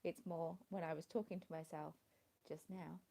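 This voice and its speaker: Woman, mellow gravelly voice, rambling live stream chat at home, phone mic. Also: on the low side, flat delivery, moderate pace. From England. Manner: rambling, chatty, greeting viewers by name. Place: at home in the evening, dim light. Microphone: phone with a small plug-in mic.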